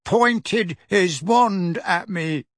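A man voicing a spoiled teenage boy in an audiobook, dazed and shaken, mumbling haltingly in a hoarse voice. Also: high-pitched, no echo, studio quality, pitched above the performer's own voice. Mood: sullen mumbling. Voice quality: hoarse, croaky.

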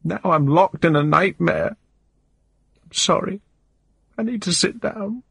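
A distraught middle-aged woman pleads in a trembling, tearful voice, as a male narrator puts her on. Her voice sits far above the reader's own low register and keeps a husky edge. The sound is close and dry, with no room around it. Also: big pitch swings, measured pace, no room sound, full character voice.

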